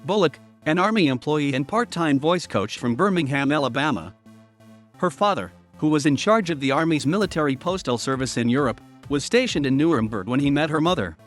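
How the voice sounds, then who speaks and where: Man, scripted narrator, higher pitch, steady flat reading, faint music behind. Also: brisk.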